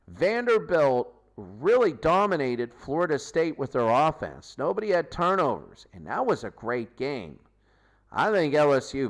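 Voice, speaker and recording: A man hosting a football show, giving a loose, rambling recap of game results and stats, often correcting himself mid-sentence. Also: on the high side. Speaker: sports show host. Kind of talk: unscripted football recap commentary.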